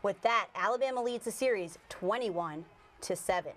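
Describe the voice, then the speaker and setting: A woman with an American accent co-anchoring a sportscast, narrating game highlights with light banter. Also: normal speed. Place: TV studio.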